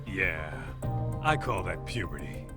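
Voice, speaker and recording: A man's voice: sits low, sing-song, slow pace, music in the background, full character voice. An actor playing a brash, streetwise male tough guy in a video game, blunt and slangy. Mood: brash, sceptical.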